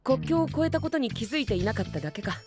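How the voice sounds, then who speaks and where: Princely young gentleman, calm, supportive, English catchphrases, varied intonation, anime drama, background music. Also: very high-pitched, quick pace. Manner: gentle, composed, drops English words. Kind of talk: Japanese anime voice drama acting. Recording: music behind the voice.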